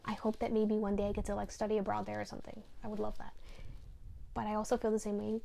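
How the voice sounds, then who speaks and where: Mellow-voiced young woman musing aloud. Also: mid-range voice, monotone, fast talker, gravelly. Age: young adult. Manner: reflective, many fillers, drops to a near whisper at times. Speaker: podcast co-host. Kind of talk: candid personal chat. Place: bedroom, seated on a bed.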